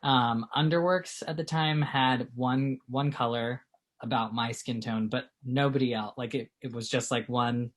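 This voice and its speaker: A man's voice: mid-range voice, fairly even intonation, a little slow, a bit bright. A man in his late twenties from Texas, co-owner of a small clothing company, answering interview questions in a chatty, filler-heavy way. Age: 20s. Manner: chatty, lots of fillers, laughs easily.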